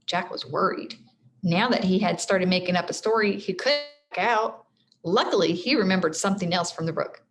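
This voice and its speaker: A woman reading a children's storybook aloud like a teacher, expressive, speaking directly to her listeners. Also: fairly low pitch, normal speed. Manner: expressive, addresses listeners directly, stumbles over hard names. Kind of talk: read-aloud storybook narration with friendly asides.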